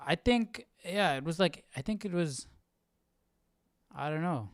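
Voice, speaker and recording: A man's voice: a little high, lively intonation, a little slow, no echo, studio quality. A man hosting a Bible study livestream, casually thinking aloud in a questioning, hesitant way.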